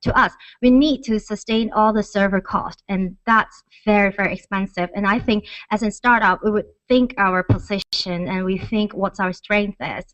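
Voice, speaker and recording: Woman startup founder doing her conference talk, medium pitch, kinda muffled lo-fi audio. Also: fairly even intonation, moderate pace, few pauses, fairly bright tone, no room sound. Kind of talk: conference pitch and question answering. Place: conference stage. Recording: dull, lo-fi event recording.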